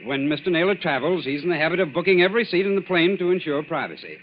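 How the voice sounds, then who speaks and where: A muffled, slightly noisy old radio recording carries a man acting an officious secretary. He is formal and self-important, his pitch swinging widely in 1940s drama fashion. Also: expressive, quick pace, close to an everyday voice. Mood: stiff, indignant. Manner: pompous, formal.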